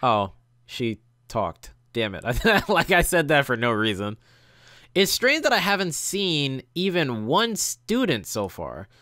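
Animated man commenting on a game. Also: medium pitch, slow, dry recording, studio quality. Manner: informal, reacting aloud to the story. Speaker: let's player. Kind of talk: casual gameplay commentary mixed with reading story text aloud. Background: game music.

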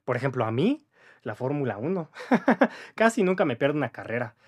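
A man's voice: medium pitch, very animated, normal speed, husky. A young man in his twenties with a Mexican accent, an English teacher giving a casual video lesson to camera, chatty and upbeat, often checking in with the viewer by tagging his sentences with little questions. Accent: Mexican Spanish. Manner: chatty, upbeat, frequent "¿va?" and "¿vale?" tag questions. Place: indoor room with a patterned wall.

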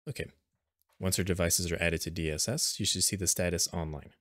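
A man narrating an unscripted step-by-step software tutorial in a plain, instructional manner, recorded as a screen voice-over. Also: low-pitched, steady tone, a little fast, dry recording, clean studio sound.

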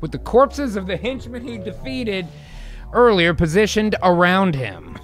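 A man's voice: high-pitched, sing-song, measured pace, faint music behind. A young man hosting and narrating, switching between dramatic script reading and casual sweary chat, close on a studio mic. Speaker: host and narrator. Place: small home studio. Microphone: boom-arm studio mic and headset.

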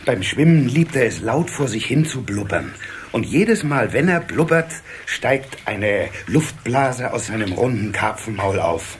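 A man's voice: medium pitch, measured pace. An actor playing a caring, fretful water-sprite father in a children's audio play. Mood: friendly. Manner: fatherly, animated. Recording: slightly noisy old cassette.

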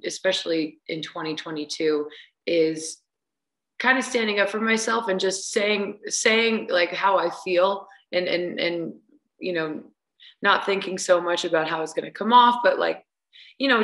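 A woman's voice: lowish voice, a bit bright, low-quality recording. A young adult woman, a singer guest, chatting hesitantly with many restarts over a video call. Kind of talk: casual interview chat. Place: large room at home on video call.

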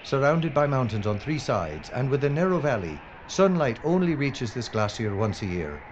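A man playing a flippant, complaining documentary presenter in an audio drama, animated in manner. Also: mid-range voice, moderate pace, lightly acted. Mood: presenting. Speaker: actor in radio drama. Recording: muffled, noisy ambience.

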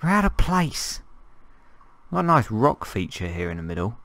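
A man with a croaky voice from a cold, chatting casually and jokingly as he plays and narrates a survival game. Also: mid-range voice, very animated, normal speed, a bit bright, dry recording. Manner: rambling, jokey, thinking aloud. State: hoarse from a cold. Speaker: gameplay commentator. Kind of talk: casual gameplay commentary.

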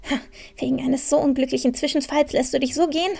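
A proud, headstrong young heroine of a fantasy audio play, acted by a woman. Her voice is high and she talks to herself with dramatic force. Faint music plays behind her. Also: steady tone, quick pace, vocal fry, darkish tone. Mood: stern, determined. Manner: self-berating, dramatic, talking to herself. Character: proud, stubborn young heroine scolding herself, then alarmed. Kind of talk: fan-made fantasy audio drama acting.

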